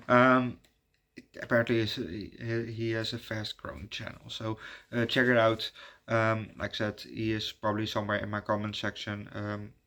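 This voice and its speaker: A Dutch man, native Dutch speaker, hosting his channel in halting casual chat full of fillers and restarts. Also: fairly low pitch, a bit bright. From Netherlands. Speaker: YouTube reviewer giving shout-outs.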